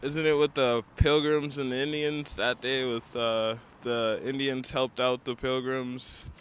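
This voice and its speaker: Relaxed Nigerian-born man answering outdoors. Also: mid-range voice, a little slow, a little hiss or hum. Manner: relaxed, offhand, with fillers. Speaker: interviewee. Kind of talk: casual street interview answers.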